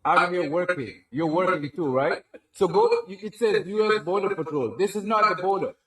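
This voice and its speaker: A man's voice: high-pitched, moderate pace, a bit bright. A man arguing with officers in a filmed clip, indignant and protesting that he is only working. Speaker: driver filmed in a viral clip. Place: airport terminal. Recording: roomy distant phone video with crowd.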